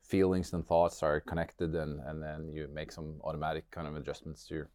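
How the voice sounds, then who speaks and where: A man's voice: very deep voice, little pitch movement, brisk, a little mellow, no echo. A man from Norway with a Norwegian accent, speaking reflectively as an interview guest, hesitant and repeating words as he searches for phrasing. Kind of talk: reflective interview talk about personal experience.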